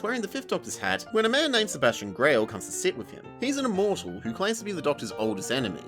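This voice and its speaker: An Australian man narrating a scripted review with informal asides, in a wry, blunt, colloquial manner. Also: medium pitch. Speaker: video essay reviewer. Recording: music behind the voice. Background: background music.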